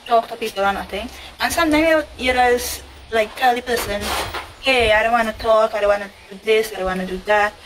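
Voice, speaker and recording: A woman's voice: on the high side, fairly bright tone. A young woman with a Trinidadian accent, answering viewer questions in a casual, chatty vlog and repeating herself often. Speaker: vlogger. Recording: noisy home recording.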